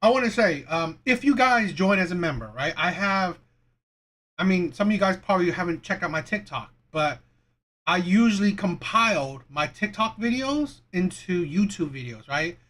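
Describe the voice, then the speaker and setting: A man's voice: sits high, lively intonation, normal speed, fairly bright tone. A man giving casual, opinionated commentary as a video host, in a rambling, repetitive way. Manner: rambling, repetitive, rhetorical questions. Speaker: video host reacting to dating clips.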